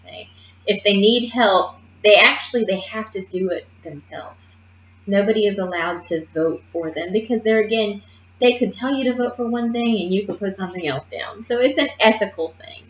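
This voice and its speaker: A woman's voice: medium pitch, normal speed. Woman, nursing assistant instructor, emphatic classroom lecture, keeps checking in with "okay?"; muffled, echoey room sound. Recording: muffled roomy classroom recording.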